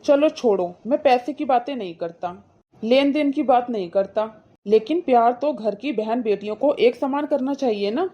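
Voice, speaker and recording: A woman's voice: a little high, normal speed, muffled recording, natural voice. A woman voicing an indignant, protective brother in a cartoon moral story, scolding his wife with insistent, reproachful questions.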